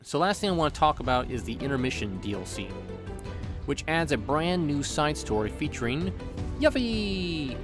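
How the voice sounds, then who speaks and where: A man's voice: on the high side, sing-song, moderate pace. A man narrating a scripted video game review with playful, joking asides. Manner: playful, jokey. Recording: background music under voice. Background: music.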